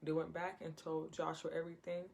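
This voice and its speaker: A woman's voice: sits low, flat delivery, a little fast, creaky, darkish tone. A woman giving an informal Bible teaching in a conversational way, repeating her phrases and acting out quotes. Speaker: preacher.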